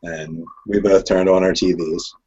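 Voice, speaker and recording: A man's voice: deep voice, little pitch movement, unhurried, mellow, muffled recording, slight background noise. A man with a New Jersey accent, rambling in a laid-back way while co-hosting a casual sports chat. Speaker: co-host.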